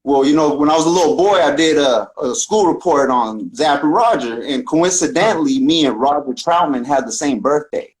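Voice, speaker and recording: A man with a Northern California accent and a slightly higher-than-average voice. He talks like a podcast guest sharing his life, earnest and casual, with plenty of street slang. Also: moderate pace, hardly any pauses, low-quality recording. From San Francisco Bay Area, United States. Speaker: podcast guest, talkbox musician. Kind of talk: casual personal storytelling.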